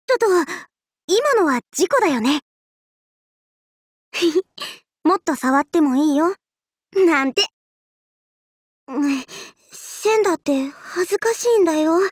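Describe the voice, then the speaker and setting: Very high, bubbly anime swordswoman girl. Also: lively intonation, no echo, clean studio sound, full character voice. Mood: teasing, flustered. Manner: bubbly, playful, cutesy drawn-out delivery. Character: cheerful, teasing young festival-loving swordswoman girl. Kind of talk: Japanese anime mobile game voice lines.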